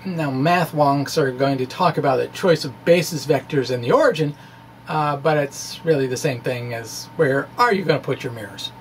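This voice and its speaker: Male science blogger, reading his essay aloud with hesitant, thoughtful asides and lively intonation, slight noise behind. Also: medium pitch, normal speed.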